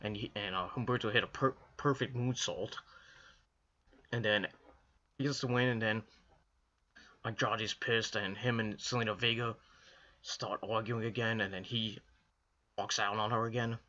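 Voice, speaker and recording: A young man from the Northeastern US rambles casually through a wrestling review, stumbling over restarts and fillers. His voice is hoarse from a cold, lowish but bright and pushy in tone. The recording has a dull, lo-fi home sound. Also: steady tone, moderate pace, pauses often. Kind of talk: casual solo commentary to camera.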